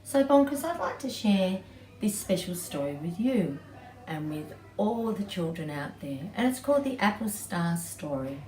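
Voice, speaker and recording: A middle-aged woman, an early childhood educator, telling a story to young children in an animated storytelling style. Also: fairly low pitch, a little slow. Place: small indoor playroom with bookshelves, seated on floor. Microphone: camera several feet away. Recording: distant mic, roomy echo, background noise.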